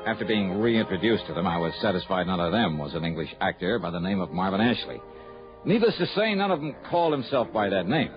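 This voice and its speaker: A hard-to-impress private investigator from a 1960s radio drama. His voice sits a little low and moves around with animation, dry and guarded in manner. The old recording is muffled, with music around it. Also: expressive, quick pace. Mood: dry narration. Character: wry, sceptical male private investigator narrating his case. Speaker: lead actor and narrator.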